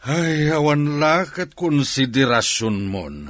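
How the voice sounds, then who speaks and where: A man playing a kind, considerate middle-aged boss in a radio drama, expressive and concerned, with an Ilocano accent. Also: a little high, takes it slowly, dry recording. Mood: reproachful, compassionate.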